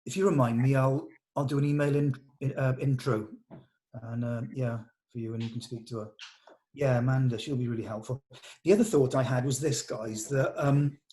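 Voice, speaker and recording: A man's voice: mid-range voice, steady tone, fairly quick, dark tone, poor audio. Enthusiastic man chatting. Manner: enthusiastic, encouraging. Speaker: director leading a script read-through. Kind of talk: casual production meeting chat.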